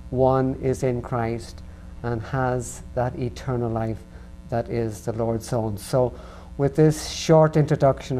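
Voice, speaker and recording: This is a man from Ireland hosting a faith program. He speaks at an unhurried pace with a warm, dark tone. A light hum sits under the recording. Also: medium pitch, low-quality recording. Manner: slow, earnest and unhurried. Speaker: host. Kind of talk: religious interview.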